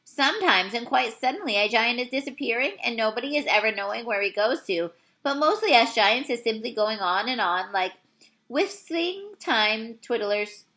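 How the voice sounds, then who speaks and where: A woman voicing a very old, friendly male giant from a children's storybook, excitable and easily forlorn, with muddled grammar and invented words. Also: fairly high pitch, normal speed. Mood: matter-of-fact.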